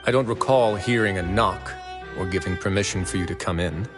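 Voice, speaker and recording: An actor playing a composed, polite man in charge, speaking in a measured, formal way. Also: low-pitched, in a large hall, music in the background, close to an everyday voice. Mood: firm. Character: composed male warden, calm and courteous.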